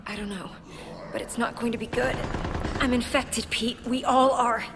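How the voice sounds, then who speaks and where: A woman's voice: on the high side, fairly even intonation, moderate pace, in a large hall, background noise, close to an everyday voice. An actress playing a serious, determined young female scientist in a video game, earnest and firm. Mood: grave. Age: young adult. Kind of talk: video game cutscene acting.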